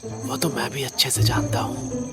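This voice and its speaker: A man's voice: medium pitch, very animated, normal speed, vocal fry, music in the background. A man playing an uneasy, wry young guest in an audio drama, often muttering as if to himself.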